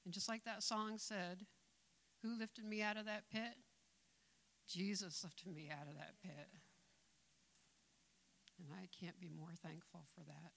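A woman giving a tender testimony in church, soft-spoken with small laughs. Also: on the low side, steady tone, a little slow, vocal fry, long pauses. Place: church sanctuary.

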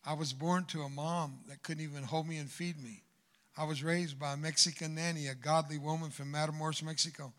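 An older man preaching a sermon in church, swinging from loud exhortation to hushed asides. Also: on the high side, steady tone, a little fast. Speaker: guest preacher. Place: church sanctuary.

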